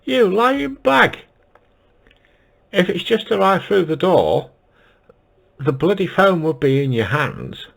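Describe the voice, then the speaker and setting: A man's voice: sits high, very animated, slow pace, creaky, pauses often, fairly bright tone. A man with a northern English accent chatting casually as an amateur radio operator, blunt and grumbling with dry humour. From United Kingdom.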